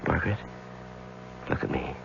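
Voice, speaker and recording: An actor playing a troubled, devoted married lawyer in a 1970s radio drama, halting and repeating himself under strain. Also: male voice, a little hiss or hum, natural voice. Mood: tender, worried. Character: troubled married lawyer, anguished, hesitant, devoted to ailing wife. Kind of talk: 1970s radio drama acting with introspective narration. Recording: muffled old radio broadcast, loud music.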